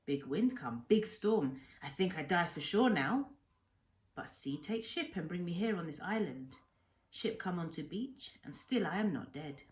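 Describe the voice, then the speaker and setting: Lady doing old japanese island doctor from a kids book, gentle soul, broken english, really up and down, earnest. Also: on the low side, expressive. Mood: grave, sorrowful. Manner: halting simplified English, earnest emphatic short sentences. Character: kindly old Japanese castaway doctor telling his life.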